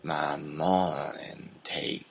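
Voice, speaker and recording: A man playing a menacing, bitter old cult leader in an audio drama, with a gravelly voice and a rustic, brooding way of talking. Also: extremely low pitch, fairly even intonation, drawn-out words, faint music behind, full character voice. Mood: menacing, mocking.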